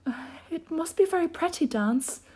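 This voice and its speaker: A woman's voice: sits high, sing-song, unhurried, warm tone, pitched above the performer's own voice. A woman voicing a polite, curious, timid little girl in a storybook, speaking tentatively. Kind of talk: storybook character voice.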